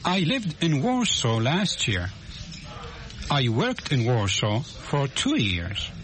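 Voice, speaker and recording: An actor playing a polite young male student in a scripted language-course dialogue, speaking slowly and clearly. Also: mid-range voice, huge pitch swings, fairly bright tone, heavily acted. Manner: slow, clear, careful delivery.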